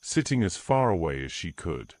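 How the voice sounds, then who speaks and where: A man's voice: low-pitched, very animated, normal speed, a little mellow, slight background noise. A man narrating the scene in scripted, descriptive storytelling.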